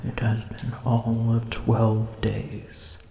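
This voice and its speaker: A woman's voice: rumbling low, monotone, extremely slow, creaky, warm tone, slight background noise, heavily acted. A woman voicing a proud sleepy female dragon. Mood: drowsy, irritated. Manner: formal old-fashioned phrasing, often whispered. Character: haughty, drowsy, grumpy female dragon. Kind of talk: ASMR roleplay. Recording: roomy echo.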